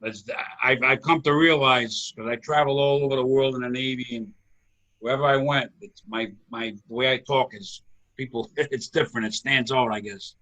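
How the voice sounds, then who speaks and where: Loud Rhode Island man with a bright voice. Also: mid-range voice, steady tone, normal speed, low-quality recording. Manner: loud, projecting, laughs easily. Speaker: comedy show host. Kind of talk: casual video chat. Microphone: video call.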